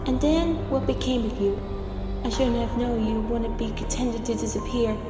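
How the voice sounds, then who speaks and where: A woman voicing a grieving mother spirit in a game cutscene, delivering a slow, solemn monologue. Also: a little high, fairly even intonation, dark tone, natural voice. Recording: echoey hall reverb with music.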